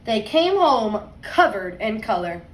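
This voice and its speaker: A woman teacher reading a picture book aloud to young children, expressively and deliberately, stressing words and adding conversational asides. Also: on the high side, sing-song. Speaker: second grade teacher. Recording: roomy echo, distant mic, faint noise.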